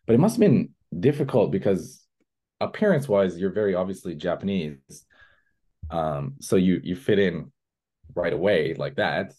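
Low dark husky guy hosting a chat, laid back and thoughtful. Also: moderate pace. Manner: thoughtful, relaxed, shares own stories. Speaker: podcast host. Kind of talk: casual interview chat.

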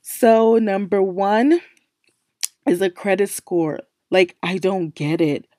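A young woman hosting a casual solo podcast, her voice still low and worn from recently losing it, chatty and emphatic, circling back to repeat her points. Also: takes it slowly, mellow, no room sound, clean studio sound. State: recovering from a lost voice, speaking low. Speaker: podcast host.

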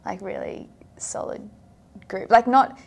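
Chatty young woman, gravelly edges. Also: medium pitch, huge pitch swings, takes it slowly. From South Africa. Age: young adult. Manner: chatty, filler-heavy, frequent "like". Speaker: podcast guest. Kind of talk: casual interview chat.

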